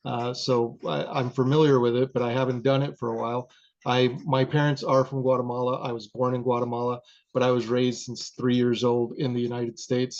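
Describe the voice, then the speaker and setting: Flat-voiced man on dull lo-fi audio. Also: medium pitch. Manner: flat, with fillers. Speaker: PE teacher. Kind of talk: online meeting talk. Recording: dull, lo-fi online call audio.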